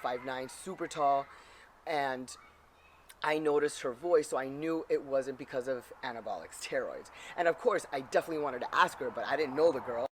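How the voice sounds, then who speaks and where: A man telling a personal anecdote in an animated way, acting out the dialogue as he goes. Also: mid-range voice, moderate pace, slight background noise. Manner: animated storytelling, acts out dialogue. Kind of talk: casual anecdote.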